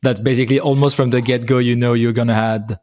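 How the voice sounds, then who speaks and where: A man interviews a guest in a casual conversational style. His voice sits at a medium pitch and moves very little, staying flat as he asks and comments. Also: fast. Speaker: interviewer, host.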